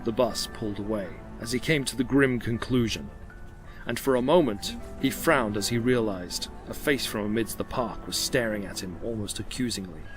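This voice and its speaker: A man's voice: on the low side, normal speed, poor audio. A man narrating an audio drama with scripted, dramatic storytelling delivery. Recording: loud music behind the voice.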